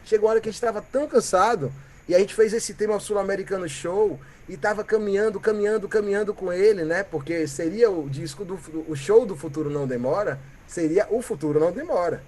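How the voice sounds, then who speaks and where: A Brazilian man from Bahia, a musician guest, telling stories in a reflective, animated way and often ending with "né?" or "sabe?". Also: sits high, fast talker. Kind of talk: reflective conversational storytelling.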